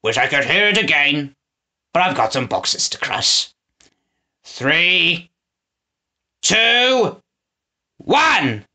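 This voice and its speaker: Reader doing a showy, gloating villain, voice leaping up and down, bright edge. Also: mid-range voice, sing-song, drawn-out words, long pauses, exaggerated voice. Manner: showy, swings from growling to frilly put-on voice. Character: scheming boastful villainous man. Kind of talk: storybook read-aloud.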